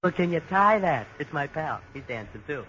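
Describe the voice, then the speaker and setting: A man's voice: on the high side, big pitch swings, moderate pace, pushed and bright. An actor playing a cocky young tourist in a 1940s radio drama. He wisecracks in slangy, brash talk. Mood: swaggering. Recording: lo-fi 1940s radio broadcast with faint hiss.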